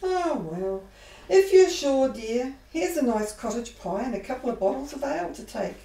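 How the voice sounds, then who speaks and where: A woman's voice: sits high, lively intonation, normal speed, far from the mic. A woman voicing an elderly, doting mother who fusses and calls people dear, in an amateur radio play. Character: elderly mother, fussing and gentle. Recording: echoey room, off mic, soft music.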